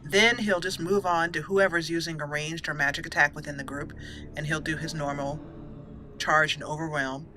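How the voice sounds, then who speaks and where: A woman's voice: low voice, steady tone, moderate pace, background noise. A woman giving casual let's play commentary, chatty and giggly, explaining things step by step as she plays. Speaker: gaming channel host.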